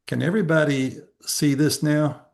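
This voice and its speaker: A man's voice: fairly high pitch, steady tone, normal speed, gravelly. A man hosting a casual networking video call, upbeat and persuasive with lots of fillers.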